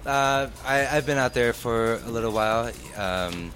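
A man's voice: mid-range voice, unhurried, a bit bright. A young man from Detroit, a guest DJ, chatting casually in an interview, hesitant and full of fillers. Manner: hesitant, filler-heavy, laid-back. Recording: music playing underneath.

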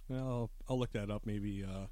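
A man's voice: lowish voice, steady tone, moderate pace, warm tone, no room sound. A man hosting a casual chat with a DJ guest, laid-back and rambling, laughing often. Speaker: podcast host and DJ.

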